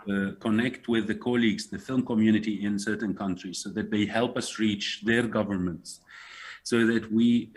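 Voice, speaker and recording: An earnest man speaks in a measured way, often pausing to find his words. His voice sits fairly low and has a slight husky grain. He sounds like a guest in a panel conversation. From Syria.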